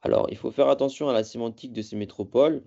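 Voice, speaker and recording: Gravelly, mellow man giving a lecture. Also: mid-range voice, highs cut off. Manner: halting, self-correcting delivery. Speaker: presenter of a training course on local government. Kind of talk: explanatory slide lecture, semi-improvised.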